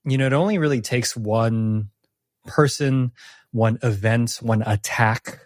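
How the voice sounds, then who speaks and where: A man's voice: mid-range voice, unhurried, no echo, studio quality. A man hosting a casual post-game chat as game master, speaking in an earnest, reflective way. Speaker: game master and host of a tabletop campaign podcast.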